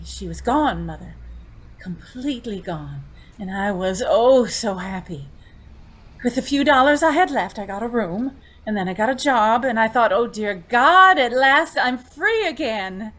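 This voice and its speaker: A woman's voice: on the high side, fairly bright tone, heavily acted, poor audio. A woman playing a tormented, pleading young woman, tearful and melodramatic in an old-time radio drama. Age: young adult. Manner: tearful, breathlessly emotional, melodramatic.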